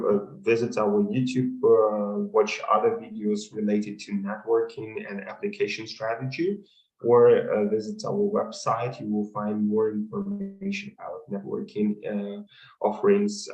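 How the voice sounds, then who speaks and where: A man who is not a native English speaker, coaching in a webinar Q&A and pausing often on fillers. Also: fairly low pitch, a little mellow, roomy sound, lo-fi sound. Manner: measured, many hesitant fillers. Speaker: consulting career coach. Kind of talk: webinar talk and Q&A.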